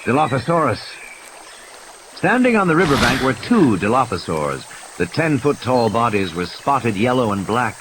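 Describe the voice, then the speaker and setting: Man, audiobook narrator, dramatic scripted storytelling, lively intonation, medium pitch, faint rumbling effects behind. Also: moderate pace, hardly any pauses, noisy background. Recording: faint background sound effects. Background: faint rumbling sound effects.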